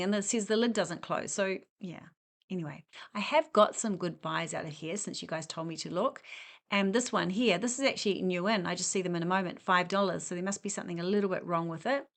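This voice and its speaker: A woman's voice: on the low side, a little fast, fairly bright tone. Chatty woman browsing a store. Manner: chatty, rambling, self-deprecating. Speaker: shopping vlogger. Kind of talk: casual shop walk-through vlog. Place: inside a discount store.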